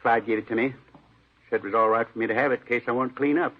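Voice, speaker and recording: An actor playing a simple-minded, eager, talkative janitor in an old radio drama, rambling in folksy, ungrammatical repetitions. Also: male voice, medium pitch, fairly even intonation, rapid-fire, narrow, tinny audio, close to an everyday voice. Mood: eager, defensive. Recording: noisy, band-limited old radio recording.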